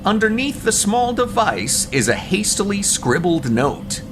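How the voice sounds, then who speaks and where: A man's voice: high voice, very animated, unhurried, heavily acted. A man narrating a superhero audio drama in an earnest, dramatic scripted storytelling style, with background noise. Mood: descriptive.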